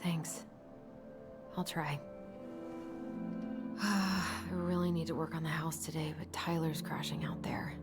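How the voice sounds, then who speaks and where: An actress playing an anxious, sleep-deprived young American woman in a video game, speaking softly and hesitantly. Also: sits low, fairly even intonation, slow, long pauses. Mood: subdued, sincere. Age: young adult. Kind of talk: naturalistic video game acting. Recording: music behind the voice.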